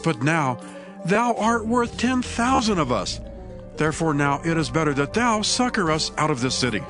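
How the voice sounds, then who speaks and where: A man's voice: on the high side, very animated, moderate pace, over music. A man acting as a loyal, urgent spokesman of a king's people in a dramatized audio Bible, pleading insistently.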